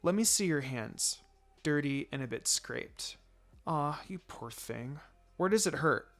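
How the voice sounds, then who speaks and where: Man voicing an exasperated young kunoichi, lively delivery. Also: a little high, unhurried, soft background music. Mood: gentle concern. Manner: firm, sighing, sardonic. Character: exasperated young female ninja babysitter, secretly soft-hearted.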